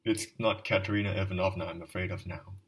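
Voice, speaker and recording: A man voicing a drunken, ragged middle-aged man from a storybook, fervent and self-pitying, pouring out a long rambling confession. His voice sits fairly low and sounds a little distant from the mic. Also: fairly even intonation, quick pace, natural voice. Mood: anxious. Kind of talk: audiobook dialogue reading.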